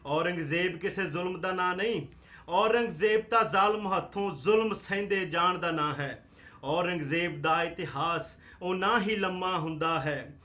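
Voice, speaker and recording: An actor playing a defiant truth-teller who exhorts cowardly masses in declaimed, rhetorical stage verse. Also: male voice, sits high, a bit bright.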